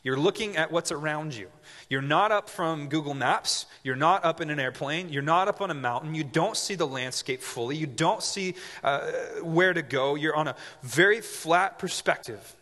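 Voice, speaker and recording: A man's voice: fairly high pitch, moderate pace, sharp tone. A man in his twenties preaching a sermon as pastor, conversational with joking asides and frequent check-ins with the audience. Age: 20s. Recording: reverberant hall.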